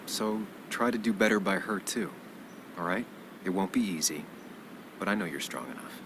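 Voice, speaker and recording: An actor playing an earnest, caring journalist, troubled but steady, in a video game. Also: male voice, low voice, normal speed, noisy background, close to an everyday voice. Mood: gentle.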